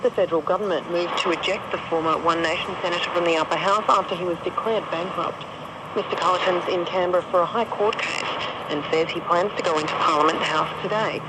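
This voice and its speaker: An Australian woman reads a radio news bulletin in a scripted style. She comes through a shortwave radio, with clear noise and outdoor ambience around her. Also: on the low side, steady tone, fast. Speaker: news reader. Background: radio static and outdoor ambience.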